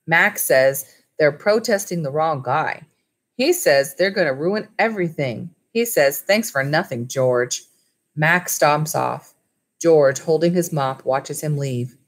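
Low-voiced woman doing a children's read-aloud. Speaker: school library teacher reading aloud to pupils. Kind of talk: storybook read-aloud and informal lesson for children. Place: small indoor room at home with wood-panelled walls. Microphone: webcam or laptop mic at arm's length.

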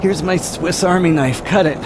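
A man playing a smooth-talking, reckless boyfriend behind the wheel in a horror radio drama. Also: high-pitched, moderate pace, background noise, natural voice. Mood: concerned.

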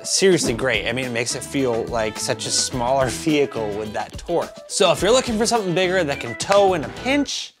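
A man in his thirties talks through car picks in a loose, tipsy way, stumbling and stretching words. His voice sits at an average pitch. Music plays clearly behind his casual, close-miked delivery. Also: lively intonation, brisk, hardly any pauses. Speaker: host of a car review show. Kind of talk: casual scripted-list review to camera with asides. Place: small home office room with computer monitors. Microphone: lavalier clipped to shirt.

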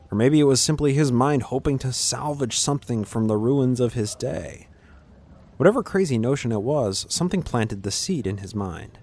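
A man narrating scripted fantasy fiction in a measured, dramatic storytelling manner with deliberate pauses. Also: mid-range voice, darkish tone, husky.

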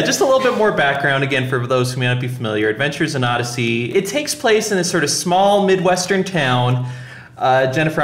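A man's voice: mid-range voice, a bit bright. A man hosting a casual podcast conversation in a joking, self-aware way. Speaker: podcast host. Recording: roomy, echoing sound.

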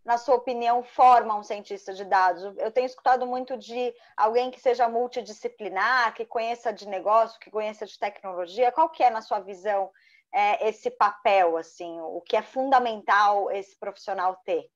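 A woman's voice: mid-range voice, moderate pace. A woman host interviewing a guest over a video call, asking her questions fluently. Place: home office with bookshelves, indoors. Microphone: earphone headset on video call. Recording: dull lo-fi call audio.

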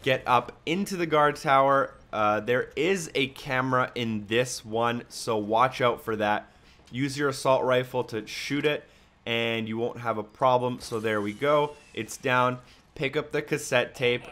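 A man's voice: medium pitch, a little slow, fairly bright tone. A man giving a casual, step-by-step walkthrough commentary as a video game guide narrator. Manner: instructional, step-by-step. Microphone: voice-over on a microphone.

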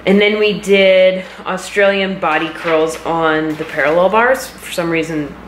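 A woman in her thirties with an American accent talks in a casual fitness vlog style. Her voice sits a little low. She is in an echoey home kitchen, with light noise behind her. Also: a little slow. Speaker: fitness vlogger. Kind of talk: casual vlog chat and explaining. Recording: roomy kitchen with some background noise.

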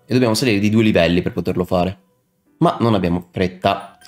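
A man's voice: low voice, a little fast. A young man doing casual live game commentary, muttering to himself and chatting with viewers as he plays. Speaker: video game streamer. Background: video game music.

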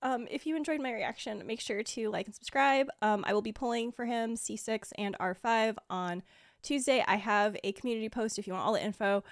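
A young woman giving an excited, casual reaction to a game trailer, gushing and emotional as she exclaims. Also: on the high side, a little fast, no echo, studio quality. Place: small room indoors at home. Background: game trailer music.

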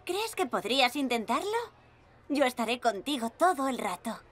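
Upbeat cartoon climbing coach lady, castilian spanish, highish bouncy voice, encouraging the kids. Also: very animated, normal speed, a bit bright, full character voice. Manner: cheerful, reassuring, addressing small children. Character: upbeat, encouraging young woman climbing instructor. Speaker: climbing instructor in a children's cartoon. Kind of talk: animated dub dialogue. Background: music.

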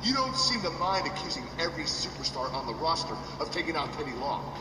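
A man's voice: on the high side, sing-song, quick pace, distant mic. An actor voicing a boastful, defensive male wrestler who trash-talks in slang, heard as a noisy, echoey game recording. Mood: mocking.

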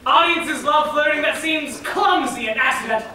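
A young woman on stage playing a brisk, authoritative scientist, presenting rules in a projected, comic lecture style. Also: high voice, sharp tone. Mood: brisk lecturing. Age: young adult. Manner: declarative, projected stage speech. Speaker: actor in a stage comedy. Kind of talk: theatrical comic lecture delivery. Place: large indoor theatre stage. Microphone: far camera. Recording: echoey theatre, distant mic, noisy.

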